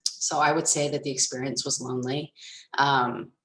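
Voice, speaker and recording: A woman in her thirties from the rural Midwest, speaking thoughtfully and haltingly as a panelist on a video call. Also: extremely low pitch, fairly even intonation, a bit bright, roomy sound, poor audio. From Nebraska, USA. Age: 30s. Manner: thoughtful, halting, many fillers. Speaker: panelist and moderator. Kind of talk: reflective panel discussion.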